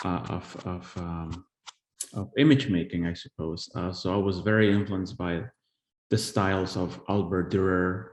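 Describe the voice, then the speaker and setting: A man in his forties from El Salvador, an artist giving a live-streamed talk on his work, who speaks haltingly with many pauses and restarts. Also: low voice, a little mellow. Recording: echoey room.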